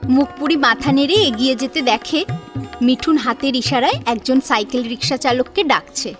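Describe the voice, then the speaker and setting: A woman's voice: high-pitched, moderate pace. A woman narrating an audio story in a dramatic, scripted storytelling style. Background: faint background music.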